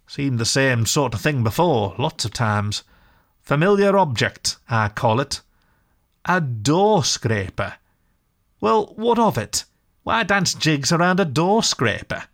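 A man's voice: mid-range voice, lively intonation, slow, fairly bright tone, pitched above the performer's own voice. A man voicing a fussy, stubborn small male mole in a storybook reading, speaking in a plaintive, grumbling way. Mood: pettish.

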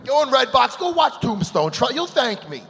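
A man with a New York accent talks in a high, bouncing voice, excitable and rambling, often loud. The sound has a long hall reverb. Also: normal speed, creaky. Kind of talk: excitable casual chat.

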